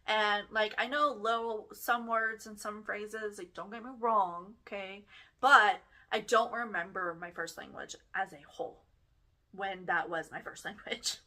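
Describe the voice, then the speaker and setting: A young woman with a Texas accent talks frankly and casually about her own life. Her voice has a bright, forceful edge. She keeps checking in with the listener as she goes. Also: mid-range voice, steady tone, measured pace. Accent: Texan. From Germany. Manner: chatty, frank, peppered with "like" and "right?". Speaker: podcast host. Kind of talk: casual personal storytelling.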